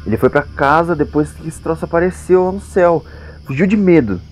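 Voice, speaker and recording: A man's voice: a little high, animated, brisk, darkish tone, faint music behind, natural voice, poor audio. A man playing an anxious, caring young villager in an audio drama, worried and colloquial.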